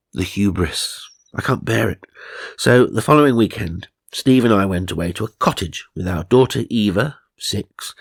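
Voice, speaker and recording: British male narrator, deepish and creaky, wry comic storytelling, very dry sound. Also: dry recording. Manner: mock-serious, sardonic asides. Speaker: narrator and host. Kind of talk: comic reading of magazine stories with asides.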